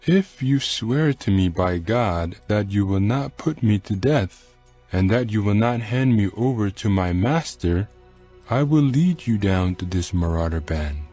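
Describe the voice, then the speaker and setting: An actor playing a weary, frank Egyptian slave who pleads in a measured, subdued way in a dramatized scripture recording. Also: male voice, on the low side, animated, mellow, faint music behind. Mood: pleading, bargaining. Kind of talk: dramatized scripture audio acting.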